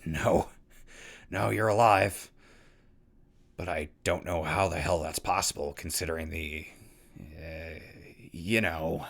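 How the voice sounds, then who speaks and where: A man's voice: a bass voice, fairly even intonation, slow, vocal fry, long pauses, fairly bright tone, full character voice. A man playing a wry wasteland radio host and wanderer in an audio drama, talking conversationally. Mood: relieved, flustered. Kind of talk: audio drama log and mock sponsor reads.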